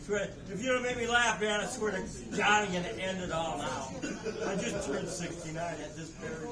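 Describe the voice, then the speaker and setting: A man's voice: sits high, fairly even intonation, normal speed. A man in the audience at a comedy show, casually chatting and calling out toward the stage. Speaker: audience member. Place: live comedy venue audience. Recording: echoey room, distant, crowd around.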